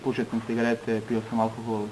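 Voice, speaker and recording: Quick, flat-voiced man from Serbia. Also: medium pitch, background noise. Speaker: person giving a testimony. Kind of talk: personal testimony in short phrases for interpretation.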